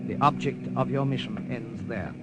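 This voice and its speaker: An actor in an old radio drama playing a cool, courtly Siamese major with a formal, measured delivery. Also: male voice, medium pitch, natural voice. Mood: grave, resolute. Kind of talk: 1940s-50s radio drama acting. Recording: very noisy old radio recording.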